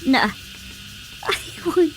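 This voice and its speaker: A woman playing an excitable, scolding comic villager in a Filipino radio drama. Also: very slow, music in the background. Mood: excited surprise.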